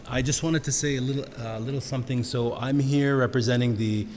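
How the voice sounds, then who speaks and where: A man moderating a conference panel, introducing it in a halting way with restarts. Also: medium pitch, fairly even intonation, a little mellow. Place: large conference hall. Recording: reverberant hall with clear background noise.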